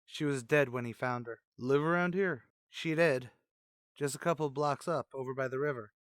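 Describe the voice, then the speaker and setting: A man's voice: medium pitch, normal speed, dry recording, studio quality, close to an everyday voice. A man playing a dutiful, somber police officer in a radio crime drama, with plain, clipped cop talk.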